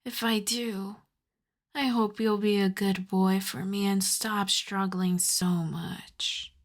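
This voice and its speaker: A predatory vampire countess, acted by a woman, croons in a soft, breathy voice at a mid-range pitch. She takes her time, drawing words out very slowly and often sinking into whispers. The ASMR roleplay delivery is seductive, teasing and condescendingly tender. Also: little pitch movement, lightly acted.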